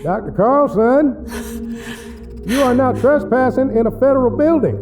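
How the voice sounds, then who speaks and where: A man playing a controlled, coercive federal official in an audio drama, negotiating in measured, formal speech full of veiled threats. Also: very high-pitched, expressive, full character voice. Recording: distant from mic, music behind.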